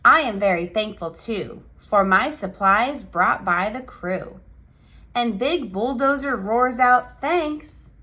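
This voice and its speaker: Lady doing a kids read aloud, higher bright voice, cheerful, takes her time. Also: expressive. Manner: measured, cheerful, addressing little children. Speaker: children's picture book reader and channel host. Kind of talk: expressive read-aloud storytelling for young children.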